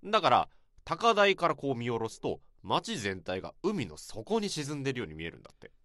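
A gruff senior office worker. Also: male voice, medium pitch, huge pitch swings, fairly quick, fairly bright tone, no echo, clean studio sound. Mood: grumbling. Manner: blunt, gruff casual speech. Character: rough-talking senior male office worker. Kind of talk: radio drama acting.

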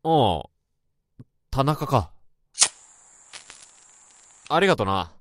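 An actor playing a troubled male office worker who narrates his own story in a radio drama, in a brooding, halting way. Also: mid-range voice, big pitch swings, background noise. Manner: brooding, halting inner monologue. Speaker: narrator and protagonist. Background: music.

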